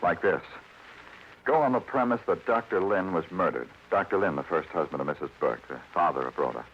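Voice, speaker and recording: Hard-boiled American detective, low, terse and brooding, vintage radio crime drama, muffled sound. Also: male voice, lively intonation, brisk, a little hiss or hum. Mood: businesslike. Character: weary, hard-boiled New York police detective. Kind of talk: 1940s radio crime acting, dramatic narration. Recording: dull vintage radio recording, faint music.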